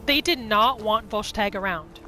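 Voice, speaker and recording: A woman's voice: mid-range voice, a little slow, over music. A woman hosting a gaming video, narrating her roleplay playthrough in a casual, chatty storytelling way. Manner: chatty, informal, storytelling in third person.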